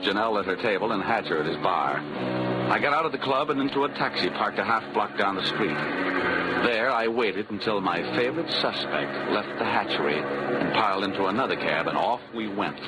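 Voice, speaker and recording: Low-voiced wisecracking 1940s radio detective actor. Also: male voice, fairly quick, lightly acted, poor audio. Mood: wry narration. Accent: American. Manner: wry, deadpan. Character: wisecracking insurance investigator, adult man, cynical and smooth-talking. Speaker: lead actor and narrator. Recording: vintage 1940s radio recording, music behind.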